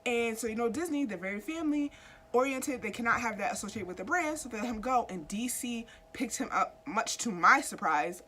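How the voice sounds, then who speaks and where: A woman's voice: on the high side, expressive, a little fast, crowd noise. A woman in her thirties hosting a casual comic book news chat, a little stuffy from a cold, talking straight to her viewers and asking them questions. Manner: chatty, asks viewers questions directly. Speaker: YouTube host.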